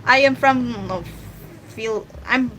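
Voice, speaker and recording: Filipino woman streamer, bright and a little high, casual rambling chat, faint hiss. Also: takes it slowly. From Philippines. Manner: chatty, rambling with many restarts. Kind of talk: casual livestream chat while drawing.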